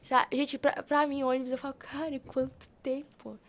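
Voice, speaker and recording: A woman's voice: high voice, level tone, normal speed. A young woman with a Brazilian Portuguese accent, speaking casually and emphatically as a podcast guest, with slangy repetition. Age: young adult. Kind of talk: casual, chatty interview answers.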